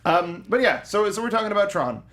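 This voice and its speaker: Man, young adult, co-host, casual review chat, enthusiastic, rambling, slightly high voice. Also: big pitch swings, a little fast, a bit bright. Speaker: podcast co-host.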